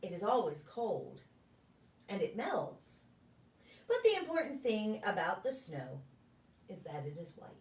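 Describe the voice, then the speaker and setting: A woman reading a children's picture book aloud in a deliberate, sing-song storytelling way for preschoolers. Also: mid-range voice, very animated. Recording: echoey room, distant microphone.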